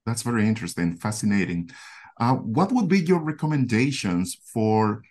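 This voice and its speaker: A male podcast host asking a guest formal, measured interview questions. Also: on the low side, sing-song, a little mellow.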